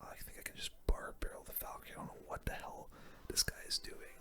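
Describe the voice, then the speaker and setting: A gamer speaks entirely in a breathy whisper, crisp and very bright in tone. The delivery is casual play-by-play commentary for an ASMR video, full of gamer slang and kept soft and close to the microphone. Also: fast talker, soft background music. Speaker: gaming video creator, commentator.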